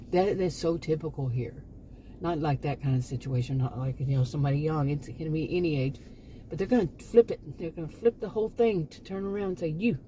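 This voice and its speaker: A woman in her late fifties talks to camera in a rambling, exasperated way, circling back through asides and restarts. Her voice sits a little low and sounds mellow. A faint hiss runs beneath it. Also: rough recording. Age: 50s. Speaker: vlogger. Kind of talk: casual chat to camera.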